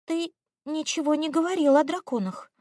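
A woman's voice: high voice, moderate pace, mellow, dry recording, studio quality, voice pushed up, lightly acted. A woman voicing a spirited young fairy girl in a storybook, curious and easily indignant, with a pert, questioning manner.

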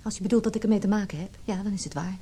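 Actress as mellow, stifled wife of forty. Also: female voice, mid-range voice, fairly even intonation, very fast, slight background noise, close to an everyday voice. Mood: defiant. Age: middle-aged. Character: stifled forty-year-old wife, conflicted yet resolute. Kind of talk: radio drama acting with reflective narration.

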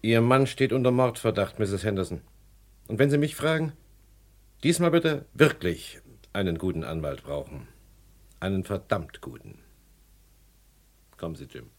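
An actor playing a stern, regretful police detective in a German crime radio play, speaking in a measured, formal way. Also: male voice, on the low side, very animated. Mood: grave, firm. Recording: husky-sounding vintage radio play recording.

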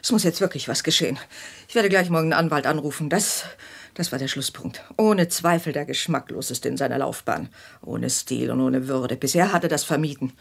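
A woman's voice: low-pitched, fairly quick, gravelly, husky, full character voice. An actress playing a haughty, easily offended middle-aged mother and wronged wife, melodramatic, in a German radio drama. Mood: outraged, scornful.

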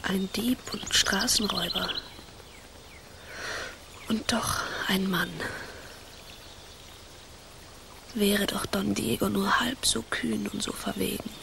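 A woman's voice: mid-range voice, fairly even intonation, measured pace, vocal fry. An actress playing a proud, spirited young noblewoman in a radio play, speaking formally and dramatically. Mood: wistful whisper.

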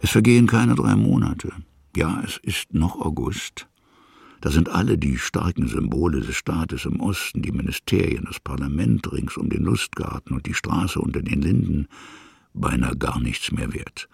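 An extremely low-voiced man narrates a literary story. His voice is heavily creaky and very husky, and he moves in measured short phrases with pauses. The sound is dry and close. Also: lively intonation, a little mellow, no room sound. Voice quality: gravelly, husky.

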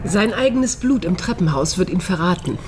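An actress playing a brisk, snippy doctor and protective friend in a radio crime drama, dry and matter-of-fact. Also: female voice, lowish voice, animated, background noise, close to an everyday voice.

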